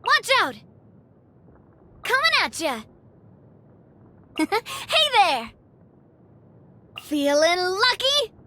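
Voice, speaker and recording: A woman playing a cheerful, genteel young lady and confident leader in a video game, bubbly, expressive and playful. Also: very high voice, extremely slow, long pauses, exaggerated voice. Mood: spirited, battle-ready. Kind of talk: video game character voice lines.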